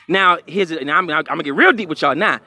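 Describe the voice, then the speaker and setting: A man with a high, bright voice fires off questions at a fast clip. He talks in a casual, slangy way as a street interviewer at an outdoor carnival.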